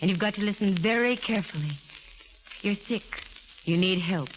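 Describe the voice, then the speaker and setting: A woman's voice: mid-range voice, normal speed, noisy background, close to an everyday voice. An American actress playing a wisecracking yet tender female private eye in a 1940s radio drama, both acting and narrating. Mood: gentle, firm. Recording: old 1940s radio recording, music behind.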